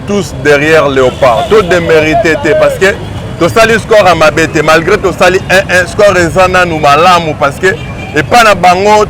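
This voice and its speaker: A man from Kinshasa with a Congolese French accent answers a street interview as an activist, eager and mixing French with Lingala. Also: a little high. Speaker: activist guest. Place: outdoors at a city crossroads.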